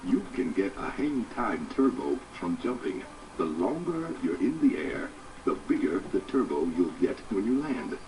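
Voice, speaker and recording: Animated, low-voiced game tutorial announcer. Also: male voice, moderate pace. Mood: instructive, upbeat. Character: upbeat male racing game announcer giving tips. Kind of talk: scripted game tutorial narration. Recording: distant game audio with music behind.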